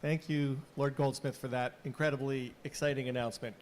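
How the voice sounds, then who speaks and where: A man's voice: medium pitch, steady tone, fairly quick, a little mellow, a little hiss or hum. A man hosting an event and giving hopeful, rallying closing remarks, with a few restarts. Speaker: event host. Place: conference pavilion.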